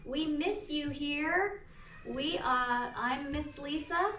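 A middle-aged woman reading a picture book aloud to young children as a teacher, with deliberate, sing-song storytelling. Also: high-pitched, a bit bright. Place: small classroom, indoors. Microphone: camera a few meters away. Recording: echoey room, distant mic, background noise.

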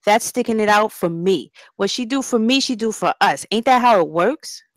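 A woman's voice: medium pitch, expressive, normal speed, dry recording, close to an everyday voice. A woman playing a defiant, loyal young gang member, slangy, casual and defensive in a script read.

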